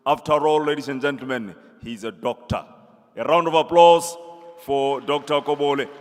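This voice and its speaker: A man's voice: mid-range voice, steady tone, normal speed. Emcee guy hyping a speaker at a ceremony, formal, hall echo. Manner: rousing, calls for applause. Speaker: master of ceremonies. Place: large event hall. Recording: long hall reverb.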